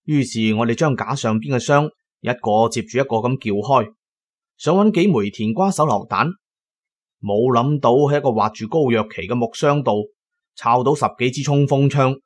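A man narrating an adventure audio drama in a colloquial, expressive first-person style. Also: medium pitch, normal speed. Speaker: storyteller, narrator.

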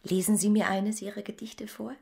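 An actress playing a romantic young married woman in a radio play, speaking in a hushed, intimate, breathy half-whisper. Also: medium pitch, little pitch movement, normal speed, no room sound, clean studio sound. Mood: tender, longing. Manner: intimate, hushed, literary delivery. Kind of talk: German radio play acting.

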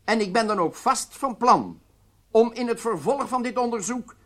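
A man's voice: very high voice, moderate pace. An actor playing an indignant man at an official hearing, pompous and formal in a radio drama. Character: indignant, pompous witness protesting at a rowdy hearing.

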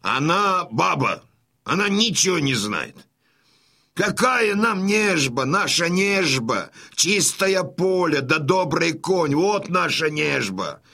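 A man's voice: on the high side, big pitch swings, slow pace, creaky, sharp tone, exaggerated voice. An actor playing a boisterous old Cossack father in a radio play, teasing and combative with jovial exclamations. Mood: gruff, proud.

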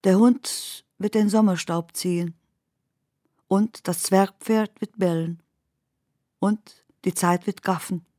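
An actress narrating surreal, dreamlike memories in a poetic radio drama, with measured and deliberate phrasing. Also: female voice, mid-range voice, steady tone, mellow, dry recording, studio quality, close to an everyday voice. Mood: measured, incantatory.

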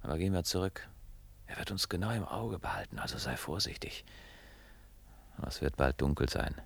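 A man's voice: a bass voice, big pitch swings, moderate pace, gravelly, slightly rough, heavily acted. An actor playing a wary, adventurous male sea traveller in a German science fiction radio drama, speaking casually and matter-of-factly. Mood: hushed, wary.

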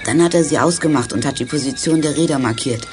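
Keen young detective, male actor, youth audio drama. Highish voice, eager explaining, music underneath. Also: fast talker, natural voice. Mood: deducing. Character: keen, clever boy detective, deducing eagerly. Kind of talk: 1980s children's detective audio play acting.

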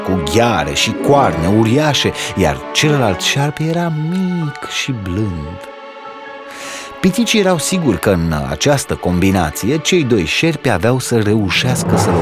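A man reading a folk tale aloud as a storyteller, in an expressive, dramatic storybook style. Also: fairly low pitch.

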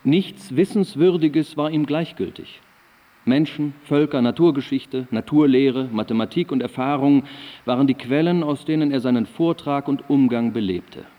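An actor playing a learned, reverent admirer recalling an esteemed man in a radio drama, formal, literary and deliberate. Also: mid-range voice, mellow, lightly acted. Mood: reverent, admiring. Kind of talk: radio drama recital of historical testimony. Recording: reverberant hall sound.

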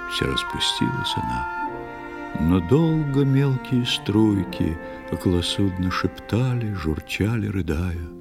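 A man solemnly reciting a poetic tale as narrator of an audio play, measured and expressive. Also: low-pitched. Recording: long hall reverb, music behind.

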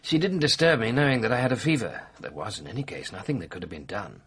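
Very raspy, expressive French doctor. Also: male voice, fairly low pitch, fast, exaggerated voice. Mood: dismissive, defensive. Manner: formal, exact, emphatic. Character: precise, confident French male doctor giving evidence. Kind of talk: radio drama acting.

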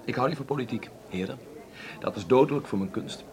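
An actor playing a proud, principled, sharp-tongued stage actor in a wartime Dutch radio drama, speaking theatrically. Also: male voice, medium pitch, brisk, crowd noise, close to an everyday voice. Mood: defiant, cutting. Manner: theatrical, sardonic, outspoken.

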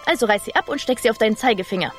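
A woman playing a haughty, bossy little mouse princess in a children's radio play, with a pert, commanding manner and a husky voice. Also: on the high side, rapid-fire, faint music behind, close to an everyday voice.

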